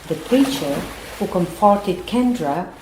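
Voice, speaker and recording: Audio drama narrator: actress, solemn and measured, warm dark tone, reverberant space with faint ambience. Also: female voice, medium pitch, lively intonation, slight background noise. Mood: grave. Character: solemn female narrator recounting the apocalypse. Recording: roomy echo, faint background ambience.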